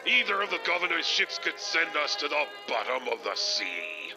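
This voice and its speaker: An actor playing a boastful, greedy, theatrical pirate captain in an audio drama, with music behind him. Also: male voice, on the high side, very animated, moderate pace, poor audio. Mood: alarmed. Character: blustering, greedy male pirate captain with a peg leg.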